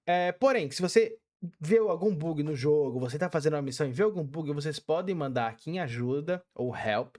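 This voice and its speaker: A man's voice: on the high side, lively intonation, brisk, darkish tone. A young man with a Brazilian Portuguese accent, casually walking through a game tutorial step by step with many fillers. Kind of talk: casual screen-recorded tutorial.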